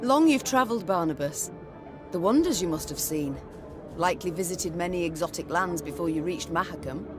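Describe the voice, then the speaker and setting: A woman's voice: fairly low pitch, lively intonation, brisk, over music, lightly acted. A woman playing a proud, wry warrior queen in a video game, speaking in stern, regal, archaic phrases. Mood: dry, measured.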